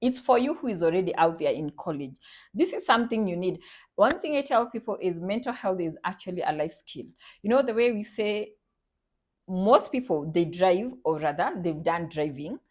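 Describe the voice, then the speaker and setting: A woman's voice: fairly low pitch, animated, normal speed. Earnest Kenyan woman psychologist. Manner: earnest, urging, repeats her points. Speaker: psychologist and center director. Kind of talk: promotional talk to viewers.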